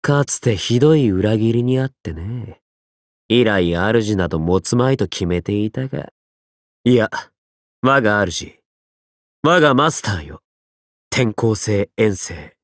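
An actor playing a roguish, easygoing young martial artist assassin in an anime mobile game, with a cocky, theatrical swagger. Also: male voice, medium pitch, very animated, unhurried, long pauses, no echo, studio quality. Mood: solemn devotion.

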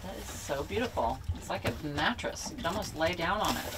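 A woman's voice: fairly low pitch, animated, fairly quick, heavy background noise. A woman chatting casually and playfully while her partner works in a boat cabin. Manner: upbeat, playful. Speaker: co-host, sailing vlogger. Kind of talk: casual chat.

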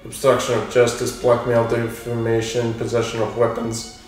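A man voicing a cold, bullying police interrogator with a put-on Russian accent, speaking in deliberate threats. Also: mid-range voice, little pitch movement, close to an everyday voice. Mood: cold menace. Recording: echoey room, off mic, game music behind.

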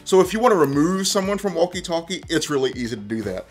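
A man's voice: a little high, very animated, fast, faint music behind. A man in his thirties presenting a how-to tutorial in a conversational, step-by-step way. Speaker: tech presenter. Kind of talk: casual how-to tutorial narration.

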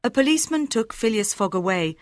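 A woman's voice: a little high, lively intonation, a little fast, no room sound. Woman narrating a storybook, dry close sound. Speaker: audiobook narrator. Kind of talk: scripted story narration for learners.

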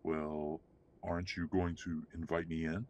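A man's voice: rumbling low, little pitch movement, a little slow, darkish tone. An actor playing a haughty, middle-aged father who speaks in a pompous, formal, condescending way in an audio roleplay. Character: haughty, cold, domineering upper-class father. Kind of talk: scripted audio roleplay acting.

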